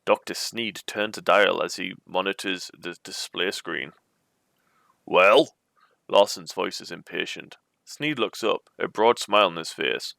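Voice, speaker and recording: A man's voice: low voice, normal speed, vocal fry, few pauses, bright, forceful tone, no echo. A man reading an adventure storybook aloud as its narrator. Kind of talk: reading a choose-your-own-adventure book aloud.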